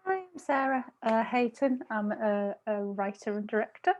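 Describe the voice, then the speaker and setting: A woman's voice: on the high side, lively intonation, moderate pace, darkish tone. A woman in her thirties chatting casually as a podcast panelist, hesitant and often interrupting herself as she looks for words. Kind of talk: casual chat. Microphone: wired earphones on video call.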